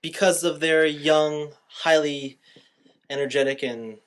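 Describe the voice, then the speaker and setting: A man's voice: on the high side, steady tone, slow. A man hosting a podcast, chatting casually and explaining things in an informal way about a movie. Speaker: podcast host. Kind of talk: casual movie review chat.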